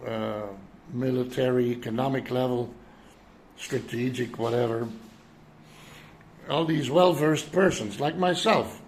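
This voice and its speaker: Older guy in his 70s from europe, slow halting off-the-cuff monologue, some background noise. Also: medium pitch, darkish tone. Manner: halting, searching for words. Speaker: citizen vlogger addressing world leaders. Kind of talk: unscripted reflective monologue.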